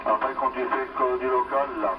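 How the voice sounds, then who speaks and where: A man's voice: medium pitch, fairly even intonation, a little fast, highs cut off. A man chats casually over two-way radio, hesitating and repeating his phrases. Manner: hesitant, repetitive, tags phrases with filler words. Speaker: amateur radio operator. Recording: noisy, distant two-way radio transmission.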